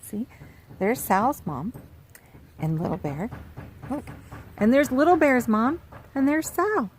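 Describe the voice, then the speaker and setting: A woman's voice: on the high side, animated, slow, slight background noise. Woman reading a kids picture book, sing-songy voice, stops to show the pictures. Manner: gentle, speaks to young listeners and points at pictures. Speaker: children's author reading aloud. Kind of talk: storybook read-aloud for children. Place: farm.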